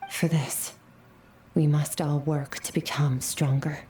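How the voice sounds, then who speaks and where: A woman voicing a reserved, powerful swordswoman in a video game, speaking in a measured, solemn way. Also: sits low, flat delivery, slight background noise. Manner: measured, solemn, soft-spoken. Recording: game audio, roomy with faint ambience.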